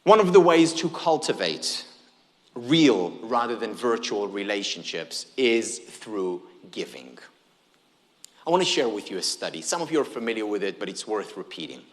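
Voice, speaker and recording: A man's voice: on the low side, very animated, moderate pace, in a large hall. A man whose first language is Hebrew gives a conference keynote, engaging the audience with questions and humor. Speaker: keynote speaker.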